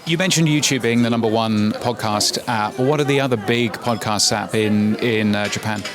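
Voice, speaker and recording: A man's voice: fairly low pitch, moderate pace, over music. A man hosting a podcast, asking conversational questions at a conference and often restarting his sentences. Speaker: podcast host, interviewer. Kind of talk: conference interview.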